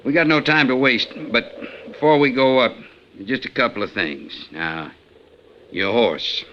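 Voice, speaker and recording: An actor playing a wary, bossy outlaw gang leader in an old radio western, with a folksy, menacingly polite frontier manner. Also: male voice, mid-range voice, measured pace, lightly acted. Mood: brisk, businesslike. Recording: dull, noisy 1950s radio recording.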